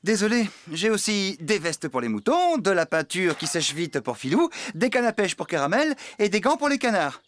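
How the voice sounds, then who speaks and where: Casting: forgetful, likeable farmhand lad for kids' animation, male, lively swoopy delivery, hesitant and apologetic. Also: sits high, moderate pace, few pauses. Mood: sheepish, flustered. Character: bumbling, good-natured, forgetful young farmhand man. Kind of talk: French children's cartoon dub acting.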